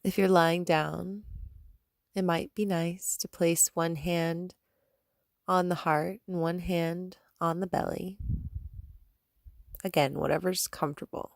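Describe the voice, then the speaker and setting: A woman guiding a meditation as a yoga teacher, speaking in a calm, soothing manner with gentle instructions and long pauses. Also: lowish voice, gravelly, no room sound, studio quality. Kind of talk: guided meditation.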